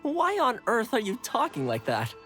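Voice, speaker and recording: An actor playing a friendly, loyal young male retainer in a video game, casual and expressive. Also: very high voice, normal speed, exaggerated voice. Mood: earnest, puzzled. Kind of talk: video game character acting. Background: music.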